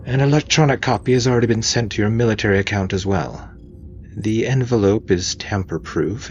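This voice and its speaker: A man voicing an older woman navy major in audio fiction, a formal and dryly witty mentor with crisp, measured military speech. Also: lowish voice, highs cut off, slight background noise, voice pushed up, natural voice. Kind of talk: audio fiction character dialogue.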